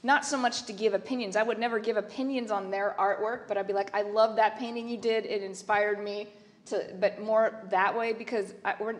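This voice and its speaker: A woman's voice: mid-range voice, steady tone, fairly quick. Chatty woman on an art panel. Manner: chatty, rambling with restarts. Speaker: panelist artist. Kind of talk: casual panel discussion.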